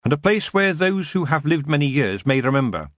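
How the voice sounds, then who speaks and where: A man reading a young witch, soft and solemn. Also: a little high, sing-song, a little fast, no echo, studio quality. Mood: earnest. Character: young female witch, earnest and quiet.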